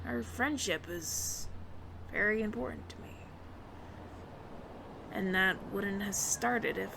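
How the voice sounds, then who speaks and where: A woman's voice: medium pitch, gravelly, noisy background. A woman playing a flustered, smitten alien roommate who stammers nervously and earnestly in an intimate audio roleplay. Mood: tender, earnest. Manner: nervous stammering, halting, repeats words.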